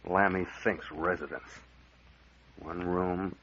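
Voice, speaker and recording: An actor playing a brisk, commanding, hard-boiled captain and investigator with a wry edge in an old-time radio mystery. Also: male voice, low-pitched, steady tone, fairly bright tone, a little hiss or hum. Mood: brisk, suspicious. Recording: muffled old radio drama recording.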